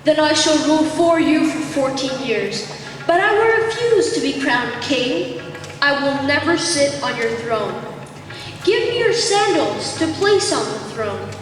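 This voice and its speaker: Girl acting devoted brother prince, high lively voice, school play, super echoey. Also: measured pace. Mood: solemn, devoted. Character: fiercely loyal young prince, devoted to his brother. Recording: echoey gym hall, distant, music behind.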